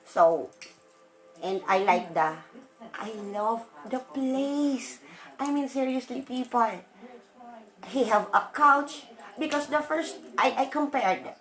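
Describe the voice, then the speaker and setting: A woman in her late thirties with a loud voice, talking in an emphatic, emotional and rambling way in a casual vlog. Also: high voice, expressive, takes it slowly. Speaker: vlogger.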